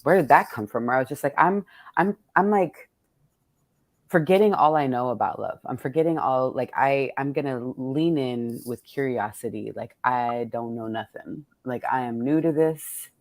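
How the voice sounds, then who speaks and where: Middle aged lady w a really low voice, chatting candidly, says like a lot, dry close sound. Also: moderate pace, dry recording. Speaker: poet hosting a virtual book event. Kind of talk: casual reflective conversation.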